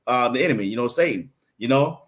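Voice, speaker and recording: A man in his fifties from Florida with a Southern accent, a guest in a casual interview who tells lively stories and acts out quoted voices. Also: medium pitch, fast talker. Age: 50s. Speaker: podcast guest, playwright.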